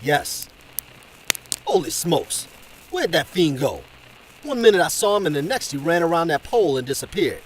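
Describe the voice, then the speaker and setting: An actor playing a bold, excitable man to the rescue in a 1920s old-time radio drama. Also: fairly high pitch, moderate pace, pauses often, slightly rough, low-quality recording. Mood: baffled. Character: bold, excitable passer-by coming to a woman's rescue.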